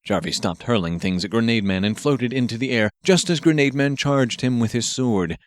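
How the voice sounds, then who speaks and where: A man's voice: mid-range voice, normal speed, slight background noise. A man narrating an action story as a scripted audiobook reading.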